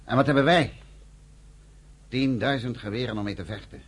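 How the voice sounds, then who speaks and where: An actor playing a weary, grave underground army commander in a radio drama, with a husky, gravelly voice. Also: male voice, mid-range voice, moderate pace, lightly acted. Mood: grim.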